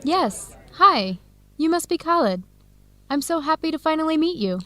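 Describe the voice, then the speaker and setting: A woman's voice: sits high, big pitch swings, normal speed, pushed and bright, dry recording, faint music behind, full character voice. A young woman playing a friendly, cheerful researcher in an educational radio drama, bubbly and polite.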